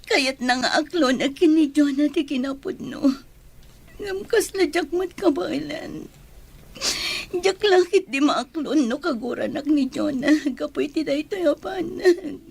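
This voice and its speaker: A woman's voice: sits high, lively intonation, unhurried, vocal fry, heavily acted. A woman playing a troubled, pleading young woman in a radio drama, with an Ilocano accent. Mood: guilty, tearful. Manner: tearful, pleading. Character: troubled young woman hiding a painful love secret.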